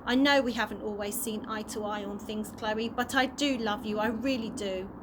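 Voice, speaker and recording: A woman's voice: mid-range voice, fairly even intonation, normal speed, natural voice. A woman voicing a distraught, remorseful mother who speaks emotionally and pleadingly to her child. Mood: ashamed, loving. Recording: noisy amateur home recording.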